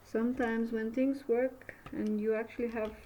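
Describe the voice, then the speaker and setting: A woman from Bulgaria with a Bulgarian accent, a native Bulgarian speaker, teaching an art method in a casual demo and often pausing to search for words in English. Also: a little high, level tone, warm tone, slight background noise, low-quality recording. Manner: slow, halting, searching for words. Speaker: art instructor.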